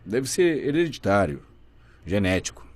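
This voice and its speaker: A man voicing a deaf guest's signing in the first person, telling his story in a lively, expressive way. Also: fairly low pitch, measured pace, no room sound. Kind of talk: simultaneous voice interpreting, first person.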